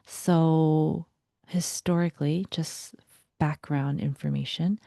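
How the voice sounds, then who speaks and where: A young woman whose first language is Vietnamese, giving a reflective guided talk in a soft voice that often slips into a breathy whisper. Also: low-pitched, fairly even intonation, slow, dry recording, studio quality. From United States. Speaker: vlogger.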